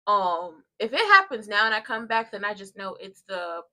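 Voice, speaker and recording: A congested woman chats loosely and rambles to her live-stream audience from home. Her voice is bright and forward, and her tone stays casual and conversational throughout. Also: mid-range voice, big pitch swings, moderate pace. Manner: rambling and chatty, addresses viewers directly. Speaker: live-stream host of a soap watchalong.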